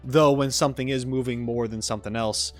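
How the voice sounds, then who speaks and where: A man's voice: medium pitch, steady tone, brisk, soft background music. A man hosting a reaction breakdown as an animator, talking enthusiastically and stressing words for emphasis.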